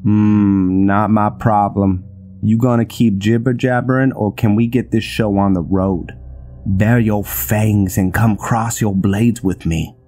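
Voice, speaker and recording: A man's voice: fairly low pitch, steady tone, takes it slowly, mellow, faint music behind. A man playing an arrogant, flippant vampire assassin in a game roleplay, with a cocky, theatrical way of talking. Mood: defiant, mocking. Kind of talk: scripted game roleplay dialogue.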